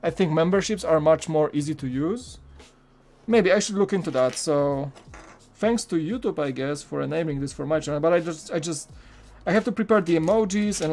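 A man's voice: a little high, darkish tone. A man in his thirties from Poland with a Polish accent, chatting casually on a live stream while building, with lots of fillers and restarts. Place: home studio room.

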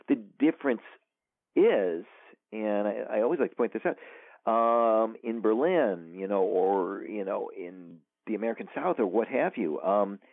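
Animated man on a tinny phone line. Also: on the low side, no echo. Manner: rambling, many restarts and fillers, animated. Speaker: author and guest. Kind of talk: casual interview conversation.

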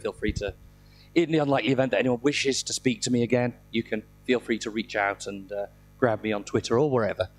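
A middle-aged man with a slightly croaky voice from a throat infection, giving an informal, self-deprecating technical talk with dry humour into a handheld microphone. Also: mid-range voice. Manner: self-deprecating, dryly humorous. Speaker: conference speaker. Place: indoor conference room, speaking on stage.

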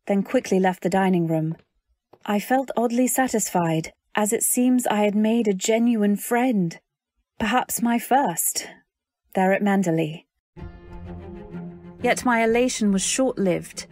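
An actress playing a polite, timid young wife who narrates her story in an earnest, measured way in an old-time radio drama. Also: medium pitch, darkish tone, dry recording, faint music behind, natural voice. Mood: reflective.

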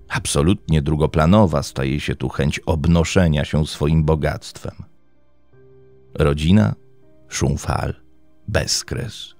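A man narrating a scripted true-crime story in a measured, dramatic way with deliberate pauses. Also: sits low, mellow, no echo. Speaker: podcast host and narrator. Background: background music.

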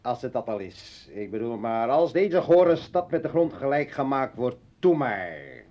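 An actor playing a defiant, sardonic revolutionary and failed poet in a radio drama, with a mocking, theatrical manner. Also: male voice, mid-range voice, animated, measured pace, close to an everyday voice. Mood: defiant, angry. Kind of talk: Dutch radio drama acting. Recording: muffled old radio play recording.

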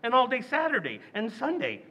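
A man's voice: very high-pitched, a little fast, exaggerated voice, rough recording. An actor playing a blustering, scheming working-class father in a recreated old-time radio comedy. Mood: complaining. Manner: blustery, excitable.

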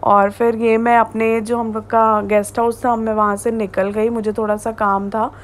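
A woman's voice: a little high, level tone, moderate pace, a little hiss or hum. A young woman in her twenties talking casually to her phone camera as a travel vlogger, tired and sleepy. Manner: chatty, tired, mixes in English words. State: exhausted and sleepy. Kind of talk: casual travel vlog narration. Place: roadside by a car, outdoors. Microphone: phone selfie at arm's length.

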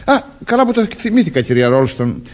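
A man's voice: a little high, sing-song, darkish tone. An actor playing a reassuring undercover policeman posing as a major in a radio play, explaining things matter-of-factly. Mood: calm, explaining. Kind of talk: Greek radio play acting.

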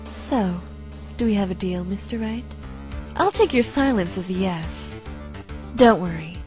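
A woman playing a cold, calculating female unicorn blackmailer in a visual novel fan dub, speaking in a smug, measured, threatening way. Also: mid-range voice, animated, natural voice. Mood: coolly threatening. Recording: faint music behind.

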